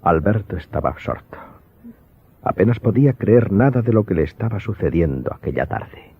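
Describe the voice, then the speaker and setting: A man's voice: low-pitched, very animated, normal speed, breathy edge, phone-quality sound, heavily acted. A man reading scripted narration as the storyteller of a radio drama. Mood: intrigued.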